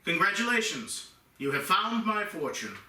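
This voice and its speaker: A man's voice: high voice, sing-song, normal speed, pushed and bright, full character voice. A man acting a station owner formally reading out his congratulatory letter in a radio play. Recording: distant, echoey room sound.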